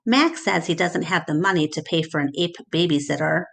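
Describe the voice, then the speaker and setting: A woman reading a children's story aloud as expressive narration. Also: fairly low pitch, a little fast, gravelly.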